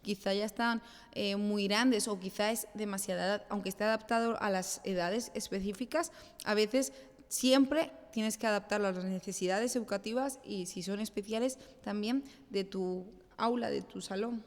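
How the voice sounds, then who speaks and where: A woman's voice: medium pitch, moderate pace. A woman speaking as a podcast guest in a conversational interview, explaining her points and acting out little bits of self-talk. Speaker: guest, children's pastor. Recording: echoey hall-like reverb.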